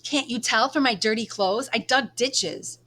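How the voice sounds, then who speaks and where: A woman reading a children's book aloud, playing a frustrated young male labourer in a sharp, emphatic way. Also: on the high side, unhurried, a little hiss or hum, voice pushed up. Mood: angry, sharp.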